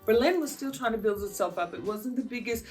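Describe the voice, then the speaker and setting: A fairly high-voiced American woman in her fifties talks through viewer questions in a loose, meandering way. She leans on fillers as she goes. Soft music plays faintly underneath. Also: a little fast. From United States. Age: 50s. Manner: chatty and meandering, with frequent fillers. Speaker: vlogger answering viewer questions. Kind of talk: casual Q&A monologue.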